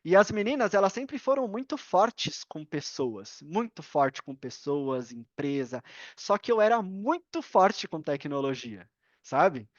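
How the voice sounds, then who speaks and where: A man's voice: a little high, a little slow, no room sound. Brazilian male podcast guest, casual slangy storyteller, dry and slightly dull online-call sound. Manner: informal, slangy, animated retelling with acted-out dialogue. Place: indoors at a computer on a video call.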